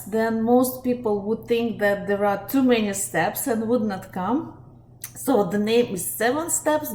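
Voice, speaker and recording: A woman's voice: medium pitch, a little mellow. A woman with a Russian accent, a life coach hosting a live webinar, talking steadily and earnestly with frequent fillers. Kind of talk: live webinar talk to viewers. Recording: echoey room, faint ambient noise.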